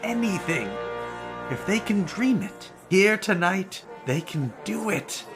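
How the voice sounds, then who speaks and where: A man's voice: sits high, very animated, slow, music in the background, exaggerated voice. An actor playing a flamboyant, arrogant prince in an audio drama, grandiose and declamatory as he savors his words. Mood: gloating, delighted. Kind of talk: theatrical audio drama acting.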